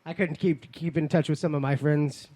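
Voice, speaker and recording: High-voiced rambling male co-host. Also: steady tone, moderate pace, mellow, dry recording. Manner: rambling, with many fillers. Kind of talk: casual chat.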